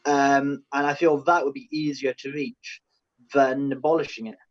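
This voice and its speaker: A man's voice: mid-range voice, flat delivery, normal speed. A young man on a panel in an online discussion, thinking aloud tentatively and often starting with "I feel". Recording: dull lo-fi audio.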